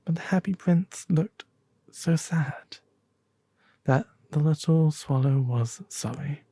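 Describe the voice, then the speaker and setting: A performer voicing a refined owl demon prince, reading a storybook tale softly and gently in ASMR style. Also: medium pitch, husky, dry recording. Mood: gentle, soothing. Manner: slow, gentle, theatrical storytelling.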